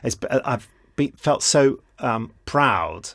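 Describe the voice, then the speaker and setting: A man's voice: mid-range voice, animated, slow pace, creaky, fairly bright tone, dry recording, clean studio sound. A man interviewing for a podcast in a casual, jokey, playful way.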